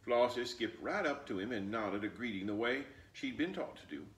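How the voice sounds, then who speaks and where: A man doing an expressive storybook read-aloud for young children, pausing to point things out. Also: mid-range voice. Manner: animated, pauses to point things out. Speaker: storybook reader. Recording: roomy echo, distant mic.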